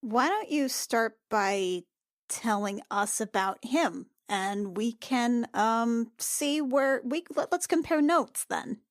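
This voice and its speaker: A woman playing a tabletop roleplaying game, chatting and roleplaying casually with halting delivery. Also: a little high, lively intonation, no echo, clean studio sound.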